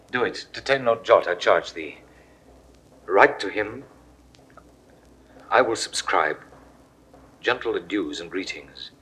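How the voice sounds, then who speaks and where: An actor playing a commanding Roman general with a warm, proud manner and grand declamation, in a 1960s studio radio play. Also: male voice, sits low, slight background noise, full character voice, low-quality recording. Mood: grieved.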